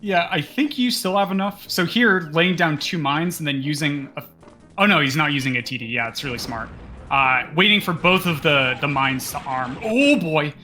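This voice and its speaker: Bright, higher-pitched man commentating. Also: moderate pace, faint music behind. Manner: casual, explanatory. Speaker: speedrun commentator. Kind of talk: live speedrun commentary.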